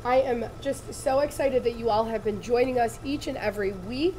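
A woman, slightly raspy from laryngitis, giving a chatty, upbeat live sewing tutorial in a studio. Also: a little high, normal speed, warm tone, slight background noise, poor audio. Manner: chatty, upbeat, addresses viewers directly. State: recovering from lost voice. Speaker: embroidery demonstrator. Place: studio set with shelves, indoors.

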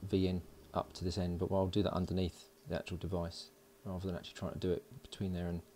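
Guy with a really low voice, thinking out loud as he builds stuff, bit of background noise. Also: monotone. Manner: unhurried, thinking aloud while working. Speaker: host of an electronics build video. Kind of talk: casual hands-on tutorial narration. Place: indoors at a workbench.

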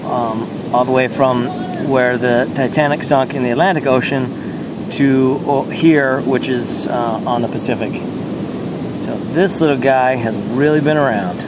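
A man on a rocky beach telling made-up stories in a deadpan way to a handheld camera. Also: medium pitch, a little slow. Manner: deadpan, tall tales told straight. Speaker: vlogger. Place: rocky beach outdoors by the surf. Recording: loud surf noise outdoors. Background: loud ocean waves.

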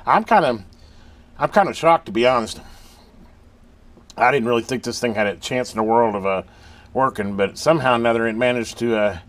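A man talking casually and haltingly in a folksy way, thinking aloud as he hosts a hands-on repair video at an indoor workbench. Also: medium pitch. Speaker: host of a radio repair channel.

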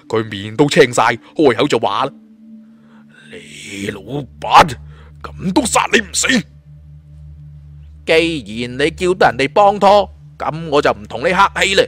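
A man with a Cantonese accent narrating a dramatic story as an audiobook storyteller. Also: medium pitch. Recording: music under the voice.

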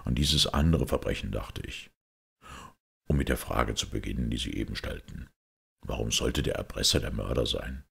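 A man voicing a grave, thoughtful priest detective who explains things in a measured, sombre way in an audiobook. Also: a bass voice, gravelly, a bit bright, breathy edge, heavily acted. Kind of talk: audiobook character acting.

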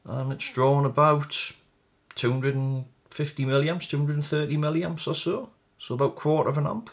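A man's voice: on the high side, steady tone, normal speed. A man hosting a repair video, casually narrating his hands-on work at a bench, thinking aloud in a tentative way. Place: indoor workbench.

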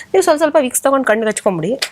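Expressive woman with a higher-pitched voice. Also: rapid-fire, slight background noise. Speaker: guest, drama instructor. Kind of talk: casual anecdote telling.

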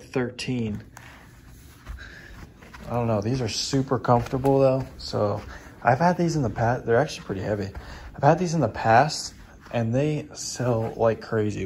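A young man chatting informally and in slangy terms, like a vlogger, recorded on a camera at home. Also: mid-range voice. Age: young adult. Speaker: online reseller and vlogger. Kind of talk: casual vlog chat. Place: indoors at home, small room. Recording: clearly noisy home recording.

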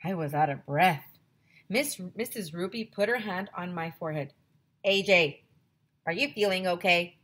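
Lady teacher doing a storybook read-aloud from home, low husky voice, big expressive delivery. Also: normal speed. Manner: expressive storytelling, addresses kids directly. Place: small home room with classroom decorations. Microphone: headset.

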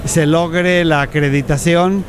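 A male pig farmer giving a short, deliberate interview at a trade fair into a handheld microphone. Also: on the high side, steady tone.

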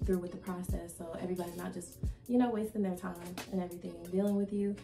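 A young woman vlogging to camera in a casual, chatty way, her voice slightly raspy from a recent cold, with many likes and ums. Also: on the low side, fairly even intonation, quick pace. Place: indoor room, plain wall and plant. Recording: echoey room with background music.

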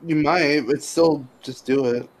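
Bossy, slightly high-voiced man. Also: steady tone, a little slow, creaky, low-quality recording. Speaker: co-commentator. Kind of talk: casual game stream chat. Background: game music.

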